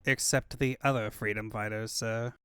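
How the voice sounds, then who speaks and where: Sly cartoon underling acted by a man; soft-spoken, servile, stammering, in a dry recording. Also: on the low side, fairly even intonation, normal speed, clean tone, no room sound, voice pushed down. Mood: obsequious. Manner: deferential, stammering, quiet. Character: meek, sycophantic male henchman, sly underling.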